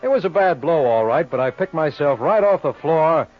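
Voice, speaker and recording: An actor playing a brash, wisecracking, needling sleuth in a 1950s radio crime drama. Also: male voice, on the high side, animated, normal speed, faint music behind, close to an everyday voice. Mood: wry narration. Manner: glib, sardonic, hard-boiled. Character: brash wisecracking male private lawyer-sleuth, cocky and needling. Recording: dull lo-fi old radio recording.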